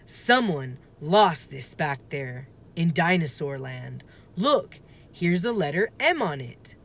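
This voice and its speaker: A woman's voice: low-pitched, sing-song, slow pace, vocal fry, exaggerated voice. A woman voicing an earnest, cautious young boy in a children's storybook, with a questioning, wondering manner. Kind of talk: children's storybook read-aloud.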